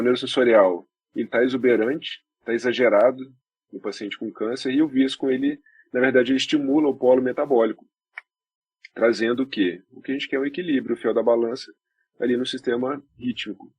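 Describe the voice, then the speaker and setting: Husky Brazilian man explaining calmly. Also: mid-range voice, no room sound, low-quality recording. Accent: Brazilian Portuguese. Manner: measured, explains step by step with restarts. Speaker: doctor guest. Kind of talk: explanatory podcast talk.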